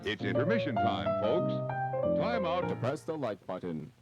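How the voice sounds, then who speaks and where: A man making an intermission announcement with a highly expressive, swooping delivery. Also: normal speed. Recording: dull lo-fi clip under loud guitar music.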